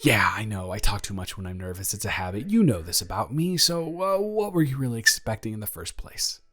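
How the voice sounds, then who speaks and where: A man's voice: fairly low pitch, sing-song, a little fast, a bit bright. A young man playing a nervous, lovestruck best friend in an improvised romantic roleplay audio, rambling and stammering as he speaks to the listener.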